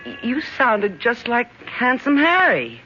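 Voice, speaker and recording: A man's voice: very high voice, huge pitch swings, measured pace, bright, forceful tone, faint music behind, voice pushed up, exaggerated voice. A man voicing a startled young woman who stammers in shock, in an old radio drama preview. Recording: dull old radio broadcast.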